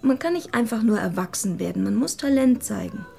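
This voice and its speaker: An actress playing a shy, frank twenty-year-old would-be writer, naive and earnest, in a radio drama. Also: female voice, fairly high pitch, very animated, a little fast, creaky, dark tone, soft background music, heavily acted. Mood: candid.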